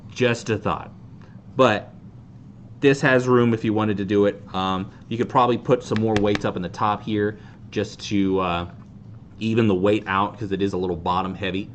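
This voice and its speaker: A man in his thirties casually hosting a hands-on how-to demonstration in a deadpan, self-deprecating way. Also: fairly low pitch, moderate pace. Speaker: host of a fan group's how-to video. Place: indoor tabletop video shoot. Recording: clear background noise.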